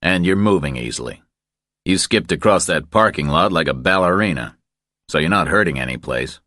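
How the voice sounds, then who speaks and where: Audiobook role: big tough skeptical drifter read by a man, extremely low voice, blunt, clipped, flat. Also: normal speed, no room sound, studio quality. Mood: skeptical, matter-of-fact.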